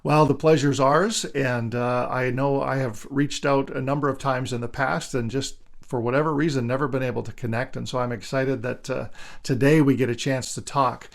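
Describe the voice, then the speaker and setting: A man's voice: mid-range voice, steady tone, moderate pace, creaky. A man hosting a podcast, introducing and questioning his guest in a friendly, upbeat way. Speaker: podcast host. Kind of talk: podcast interview.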